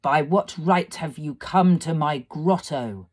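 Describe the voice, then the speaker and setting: A woman's voice: low-pitched, slow. A woman voicing a male fire fiend in a storybook, imperious, mocking and cruel, with a declamatory delivery. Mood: scornful. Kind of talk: dramatised storybook reading.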